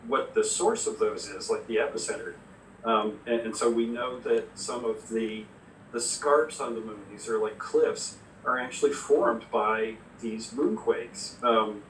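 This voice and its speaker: A man answers as a science specialist in a live interview, explaining in a measured, halting way. His mid-pitched voice is clearly echoey and a little far from the mic. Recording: echoey, distant mic, faint noise.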